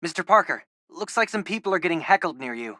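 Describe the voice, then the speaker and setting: A man's voice: on the high side, expressive, quick pace, bright, forceful tone, dry recording, studio quality. A young American man voicing an eager, geeky teenage boy, a loyal tech sidekick in a video game, informal and earnest. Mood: alert, reporting.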